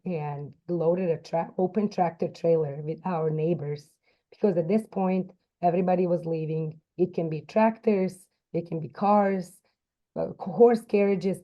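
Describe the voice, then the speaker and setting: A woman's voice: lowish voice, highs cut off. A woman in her forties from Croatia, a non-native speaker of English, earnestly telling her life story as a podcast guest with frequent restarts. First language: Serbo-Croatian. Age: 40s. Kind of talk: personal storytelling.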